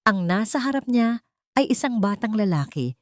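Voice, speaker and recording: A woman's voice: medium pitch, big pitch swings, moderate pace, no echo, clean studio sound. A woman with a Filipino accent reading a scripted romantic drama as a story narrator.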